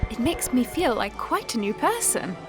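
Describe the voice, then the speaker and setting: A woman's voice: fairly high pitch, big pitch swings, moderate pace. A woman playing a genteel, charmed young society lady in a comic period audio play, polite and dainty. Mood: charmed, coy. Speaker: actor in an audio farce. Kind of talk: period romantic-comedy acting. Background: prominent music.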